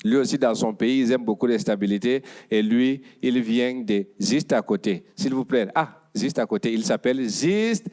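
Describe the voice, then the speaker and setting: A man's voice: medium pitch, normal speed, few pauses, warm tone. A man hosting a stage show, introducing the next comedian in a playful, teasing way.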